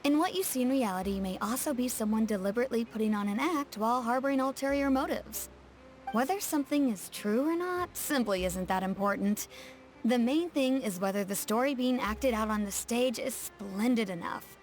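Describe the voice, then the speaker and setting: A woman's voice: on the high side, expressive, fairly quick, natural voice, low-quality recording. An actress playing a poised, articulate townswoman who explains things in scripted video game dialogue. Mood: composed, explanatory. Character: poised, well-spoken local townswoman, gently correcting. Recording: faint music behind.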